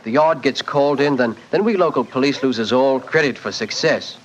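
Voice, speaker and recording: A man acting as a rustic English police sergeant, deferential and colloquial in his phrasing. The pitch sits mid-range, in the manner of 1940s radio acting. The recording is dull and lightly noisy. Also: moderate pace, close to an everyday voice. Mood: friendly, candid. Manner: colloquial, rustic grammar, respectful. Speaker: actor in a radio mystery.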